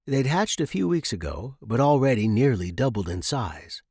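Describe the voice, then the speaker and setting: A man's voice: medium pitch, big pitch swings, moderate pace, darkish tone, breathy edge, no echo, clean studio sound. A man reading scripted fiction as an audiobook narrator.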